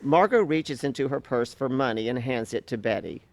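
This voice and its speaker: A man's voice: medium pitch, expressive, moderate pace, creaky. A man reading stage directions as scripted narration for a staged play reading.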